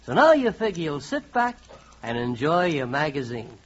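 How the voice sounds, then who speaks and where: An actor playing a wry, confiding comic narrator who mock-encourages the listener in the second person, in 1940s radio style. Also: male voice, fairly high pitch, big pitch swings, moderate pace. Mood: smug, mock-encouraging. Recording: muffled 1940s radio recording.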